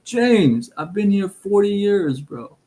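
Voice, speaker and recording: A middle-aged man from Iran with a Persian accent, hosting a casual live stream of advice talk in a relaxed, rambling way full of fillers and restarts. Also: sits high, slow pace.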